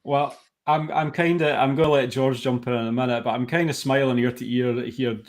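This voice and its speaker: A man's voice: medium pitch, steady tone, a little fast. A man hosting a podcast in casual chat, friendly, informal and complimentary toward his guest. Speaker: podcast host.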